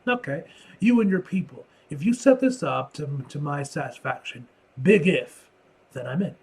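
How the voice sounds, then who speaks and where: A man voicing a wary, street-smart underworld dealmaker from a comic book, guarded and folksy with halting phrasing. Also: fairly high pitch, lively intonation, darkish tone, husky.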